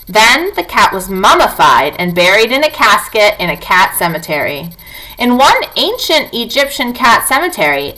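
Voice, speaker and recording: A woman's voice: mid-range voice, bright, forceful tone, rough recording. A woman teacher reading a non-fiction book aloud to young children, talking to them directly with questions and prompts.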